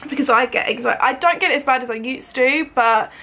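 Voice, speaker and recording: A woman's voice: high-pitched, fast talker, sharp tone. A British woman in her twenties chatting casually to camera in an informal, self-deprecating way, like a home vlogger. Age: 20s. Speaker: cleaning and lifestyle vlogger. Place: indoors at home, living room and kitchen.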